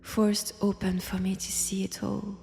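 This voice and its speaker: Woman playing a slow, creaky French-accented vampiress. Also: medium pitch, monotone. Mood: teasing, probing. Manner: soft, taunting, often dropping into whispers. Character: menacing, mad female vampire, seductive and condescending. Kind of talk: slow intimate roleplay audio drama.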